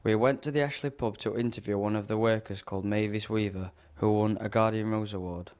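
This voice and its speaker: Low-pitched male local news reporter. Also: steady tone, brisk, a little mellow, slight background noise. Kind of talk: scripted local news narration.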